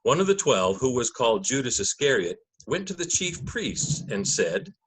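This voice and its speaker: A man's voice: medium pitch, sing-song, normal speed, breathy edge, rough recording. A man speaking as a pastor, delivering a church message and scripture narration. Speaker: clergyman and narrator of a gospel reading.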